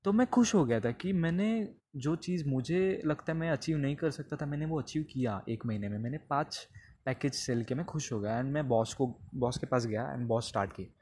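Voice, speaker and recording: A man's voice: mid-range voice, normal speed, a little mellow, slight background noise. A man hosting a podcast, telling a comedic personal story conversationally and slipping between languages. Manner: conversational, mixes Hindi with English phrases.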